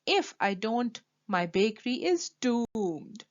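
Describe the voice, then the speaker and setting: A woman's voice: on the high side, sing-song, slow pace, dull audio. A woman playing a proud, dramatic small-town baker in a children's storybook, declaring things emphatically. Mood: desperate.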